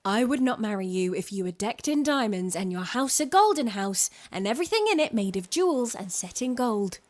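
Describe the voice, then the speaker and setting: A woman's voice: medium pitch, sing-song, lightly acted. A woman playing a blunt, scornful young woman in a spoken folktale, emphatic in rejecting her suitor. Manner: brisk, emphatic.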